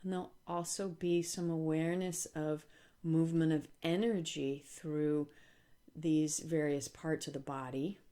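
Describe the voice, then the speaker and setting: A woman leading a guided relaxation as a yoga instructor, speaking calmly and gently with deliberate pauses. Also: sits low.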